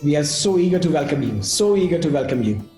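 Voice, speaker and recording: Eager travel-company founder, lively voice, lots of fillers, echoey room. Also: male voice, a little high, fast, faint music behind. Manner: enthusiastic, many fillers. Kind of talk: video call interview.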